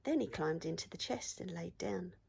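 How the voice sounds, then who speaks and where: A woman teacher reading a story aloud to children in an expressive storytelling style. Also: fairly low pitch, normal speed, gravelly, breathy edge.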